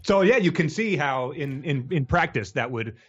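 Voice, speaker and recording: A man co-hosting a casual comedy podcast, talking haltingly and often repeating words as he goes. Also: a little high, lively intonation, fairly bright tone, lo-fi sound. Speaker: podcast co-host. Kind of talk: casual comic book discussion.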